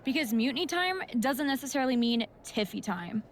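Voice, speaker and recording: A resolute young wrestler in a video game. Her voice is pitched on the high side, with a little ambient noise behind it. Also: expressive, a little fast. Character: resolute young female wrestler breaking free of faction. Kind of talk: acted video game cutscene dialogue.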